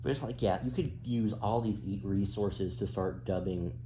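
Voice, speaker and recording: A young man chatting casually and off the cuff, rambling and halting with casual swearing, in a dull, noisy recording. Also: lowish voice. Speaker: commentator. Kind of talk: casual off-the-cuff opinion chat. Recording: roomy, dull, with clear background noise.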